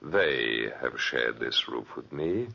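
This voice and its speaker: An actor in a 1940s radio drama playing a huge, ugly, bitter hermit who speaks with slow-burning, ominous menace. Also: male voice, a bass voice, animated, creaky, pushed and bright, breathy edge, full character voice. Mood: coldly polite, eerie. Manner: deliberate, ominous, theatrical delivery. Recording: dull, muffled 1940s radio recording.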